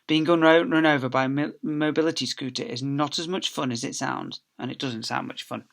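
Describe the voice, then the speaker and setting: A man's voice: medium pitch, steady tone, a little fast, creaky, a bit bright. A man, a teacher reading aloud, tells a children's story expressively, with theatrical emphasis and phrases that drop away at the end.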